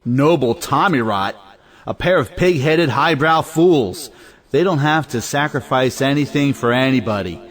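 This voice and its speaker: An actor playing a hot-headed, conventional brother in an audio play, blustering and exclamatory. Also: male voice, medium pitch, animated, a little slow, close to an everyday voice. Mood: indignant, scornful. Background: music.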